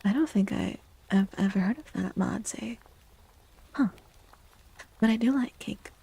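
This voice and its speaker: A woman hosts an ASMR livestream in a very soft, breathy voice that often slips toward a whisper. Her delivery is slow and gentle, with a creaky edge and a mellow tone. She sounds close to the microphone as she chats. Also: medium pitch, poor audio. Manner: gentle, unhurried, greeting chatters. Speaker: livestream host, VTuber. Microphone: close microphone, dry.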